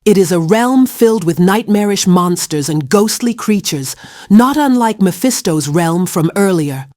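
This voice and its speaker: A woman's voice: mid-range voice, animated, measured pace, a little mellow, no echo, clean studio sound, natural voice. A woman voicing a cautious, grave superheroine in a game, formal and serious. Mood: grave concern. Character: grave, cautious heroine versed in the occult. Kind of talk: fan-made game character voice clips.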